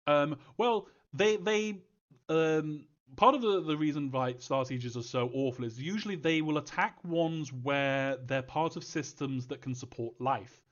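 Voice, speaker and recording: A man's voice: a little high, unhurried, highs cut off. A man answering fan questions as a game creator in a casual live Q&A, rambling hesitantly and thinking aloud as he goes.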